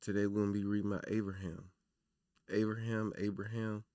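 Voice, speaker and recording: A man with a low, naturally raspy voice hosts a casual livestream, talking loosely and warmly to his viewers. His sound is dry and close, with no room echo, as if picked up by a desk mic at home. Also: level tone, a little fast. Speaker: livestream host.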